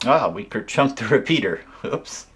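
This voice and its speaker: A man's voice: medium pitch, sing-song, moderate pace, noisy background. A man giving a casual hands-on product tutorial, explaining things informally step by step as a reviewer. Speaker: radio reviewer.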